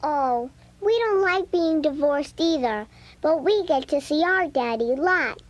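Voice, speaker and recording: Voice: high-pitched, lively intonation, measured pace, no echo, highs cut off. A performer playing a chatty, candid five-year-old girl in an audio drama, childlike and eager. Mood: curious, candid.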